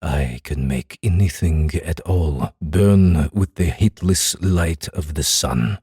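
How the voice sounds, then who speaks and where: A man playing a centuries-old enslaved demon, grave, proud and menacing, with a husky, rumbling voice and formal, weighty phrasing. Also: slow, dark tone, no room sound, studio quality, full character voice.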